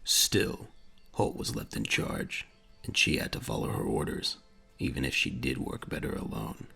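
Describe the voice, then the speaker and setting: A man with a gravelly voice narrating a dramatic audio drama in a grave, measured storytelling manner. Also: very animated, sharp tone. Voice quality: very deep, gravelly. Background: faint music.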